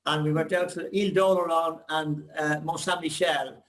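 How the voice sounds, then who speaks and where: An older man on a video call, rambling warmly before asking his question. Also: fairly high pitch, steady tone, brisk. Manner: rambling, complimentary, reminiscing. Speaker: audience member asking a question.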